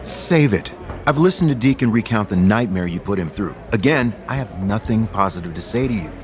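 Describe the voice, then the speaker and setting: Very low-voiced, proud man played by an actor. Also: lively intonation, fairly quick, darkish tone, close to an everyday voice. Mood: curt. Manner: assertive, sardonic, dramatic. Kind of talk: audio soap opera acting.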